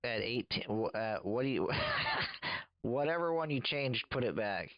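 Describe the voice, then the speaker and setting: A man's voice: mid-range voice, expressive, a little slow, highs cut off, slight background noise. A man giving casual, patient, step-by-step technical coaching to another radio operator.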